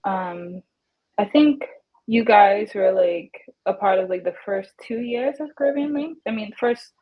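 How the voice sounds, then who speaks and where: A woman's voice: mid-range voice, unhurried, dull audio. A woman moderating a casual panel conversation over a video call, hesitant and full of fillers. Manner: hesitant, filler-heavy phrasing.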